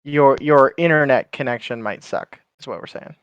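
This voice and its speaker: A man's voice: medium pitch, normal speed, a bit bright, smooth, dry recording, highs cut off. A man chatting casually and wryly as a player in a tabletop game. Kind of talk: casual chat.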